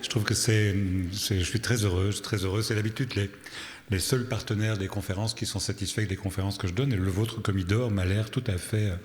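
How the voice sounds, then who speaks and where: A low-voiced man, speaking French as a second language, lectures in a hall with long reverb. He tells stories with dry, deadpan wit. He leans on rhetorical questions and repeats key phrases deliberately. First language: not French. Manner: wry, deadpan humour. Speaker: psychotherapist giving a public lecture. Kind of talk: public lecture with storytelling. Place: large reverberant hall, live talk.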